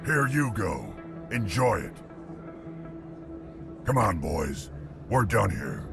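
Surly reptile alien dealer, gravelly low voice, swooping delivery, music bed. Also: male voice, very animated, slow pace, heavily acted. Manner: surly, dismissive. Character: menacing reptilian male alien arms dealer. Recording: game audio with loud score.

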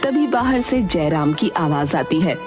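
A woman's voice: on the low side, animated, unhurried. A woman reading a scripted story as the narrator. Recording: music behind the voice. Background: background music.

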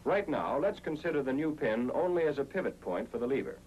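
American man, animated 1940s training-film narrator. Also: medium pitch, brisk, dull audio. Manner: methodical, explanatory. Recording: noisy 1940s film soundtrack with hum.

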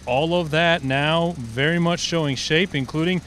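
A man in his thirties hosting a construction update interview, speaking in a brisk, upbeat reporter manner into a handheld mic outdoors at a stadium under construction. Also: a little high, fairly bright tone, a little hiss or hum. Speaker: host. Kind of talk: scripted sports-network interview. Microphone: handheld microphone.

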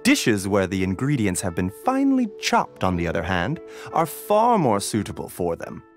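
A genteel, expressive young doctor. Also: male voice, lowish voice, soft background music. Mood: explanatory, calm. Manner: courteous, measured, scholarly. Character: genteel, soft-spoken young male herbal doctor. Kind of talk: polished game dialogue acting.

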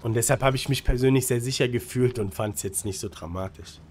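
A man's voice: medium pitch, a little fast, a little mellow, breathy edge. Slightly congested man doing casual gameplay commentary. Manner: colloquial, peppered with English gaming slang and tag questions. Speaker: gaming guide creator and streamer. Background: music under the commentary.

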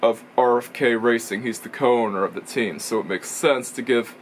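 A young man chats straight to a webcam in a small cluttered room, explaining things in a loose, rambling way. He stops and restarts his sentences as he goes. His voice sits at an ordinary middle pitch, with a faint hum of room noise behind it. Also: normal speed. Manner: rambling, self-correcting, explanatory. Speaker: racing video reviewer. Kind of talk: casual vlog commentary. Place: small indoor room full of toy shelves. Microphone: camera or webcam in front of him.

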